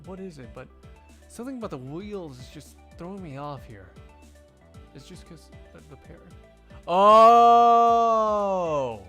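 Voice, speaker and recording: Animated man streaming a game. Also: high voice, slow, muffled recording, faint music behind. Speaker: streamer doing live fandub. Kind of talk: casual game stream chat.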